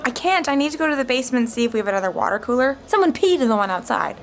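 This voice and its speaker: A frazzled, overworked wedding organizer in an audio sitcom. Her voice is fairly high and very animated, flustered and chatty, over slight background noise. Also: racing.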